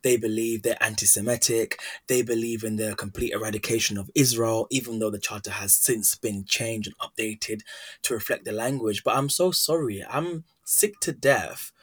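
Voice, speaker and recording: A man's voice: fairly low pitch, normal speed, slightly rough. A man hosting a podcast interview, speaking with passion as he builds long questions. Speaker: podcast host.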